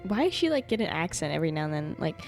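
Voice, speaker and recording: A woman's voice: low-pitched, sing-song, very fast, creaky. A woman streaming a video game with casual, teasing live reactions and music playing behind her. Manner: playful, teasing.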